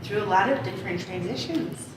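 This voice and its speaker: A woman's voice: mid-range voice, fairly quick. A woman conducting an oral history interview in an encouraging, affirming manner. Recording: very echoey, distant, noisy room.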